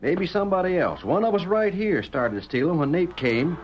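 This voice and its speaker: Wary rancher, animated slightly high voice, Western drawl, earnest, vintage radio drama. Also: male voice, moderate pace. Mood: cautious. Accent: American Western drawl. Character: suspicious, cautious male cattle rancher in posse. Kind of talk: old-time radio Western acting. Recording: dull, noisy vintage radio recording.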